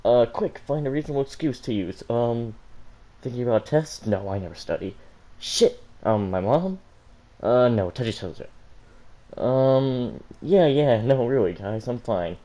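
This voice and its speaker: A man's voice: mid-range voice, lively intonation, unhurried, dark tone, close to an everyday voice. Man voicing a flustered, stammering teenage girl. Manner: stammering, blurting. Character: flustered, anxious teenage girl hiding a crush.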